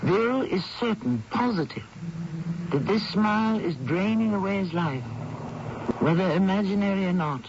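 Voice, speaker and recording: A man hosting and narrating a radio mystery drama in a measured, suspenseful, theatrical manner. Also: high voice, animated, vocal fry. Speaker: host and narrator. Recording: dull, noisy old radio broadcast.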